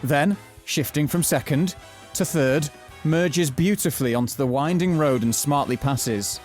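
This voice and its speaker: A man narrating a mock-thriller audio drama from a script, telling it in a florid, deadpan way. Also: a little high, measured pace, soft background music, natural voice.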